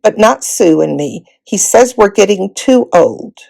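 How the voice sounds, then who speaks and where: Lady reading as a serious big brother kid in a story, earnest, slow, creaky. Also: medium pitch, lively intonation, warm tone, heavily acted, poor audio. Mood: solemn. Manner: earnest, reassuring. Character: solemn elder-brother boy, steady and resigned.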